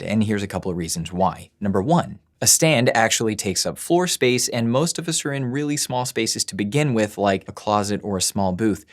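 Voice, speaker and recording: A man who runs a voice-over studio, giving a chatty, self-deprecating tutorial from his studio at work. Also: on the low side. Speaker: voice-over studio owner and teacher. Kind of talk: gear tutorial video.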